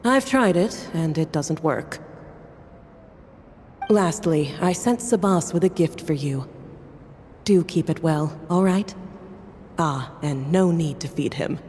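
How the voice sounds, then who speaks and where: A woman voicing a composed, learned and teasing alchemy master in a video game, speaking in a measured, eloquent, playfully condescending way. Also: deep voice, darkish tone, slight background noise. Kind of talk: video game voice acting, reciting a letter. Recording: long echoing reverb over music.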